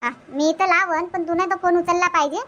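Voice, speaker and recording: A woman's voice: extremely high pitch, fairly even intonation, fairly quick, sharp tone, lo-fi sound. A woman voicing a playful young village relative in a cartoon comedy, teasing and colloquial, with a Varhadi Marathi accent.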